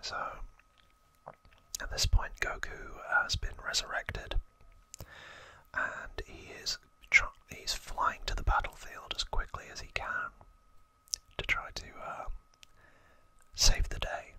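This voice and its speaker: An ASMR host whispering casual, informal trivia narration in a breathy, unvoiced voice. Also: takes it slowly, sharp tone.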